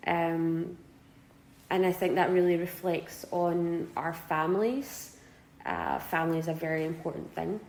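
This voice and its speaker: A woman's voice: fairly low pitch, lively intonation, measured pace, a little mellow, reverberant, low-quality recording. A Scottish woman in her twenties answering interview questions casually, often hesitating with fillers and restarts. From Scotland. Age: 20s.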